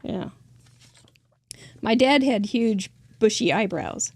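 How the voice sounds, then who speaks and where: Chatty host woman, gravelly edge. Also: expressive, takes it slowly, no echo. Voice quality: squeaky high voice. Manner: chatty, laughs often, trailing restarts. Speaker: host of a live crafting show. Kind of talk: casual interview chat.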